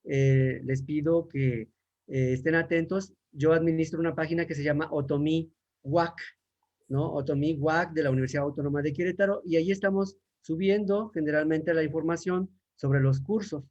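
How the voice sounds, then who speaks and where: A man's voice: on the high side, fairly even intonation, normal speed, a little mellow. A man from Mexico, a university professor, answering questions in an online talk with frequent fillers and restarts. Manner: hesitant, many fillers and restarts. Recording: dull lo-fi sound.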